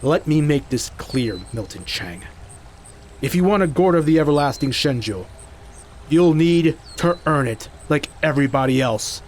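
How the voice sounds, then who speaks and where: A man's voice: on the high side, animated, moderate pace, natural voice. A man playing a confident, stern fighter who guards a sacred treasure in an audio drama, firm and teasing. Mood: stern, assured. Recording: faint background noise.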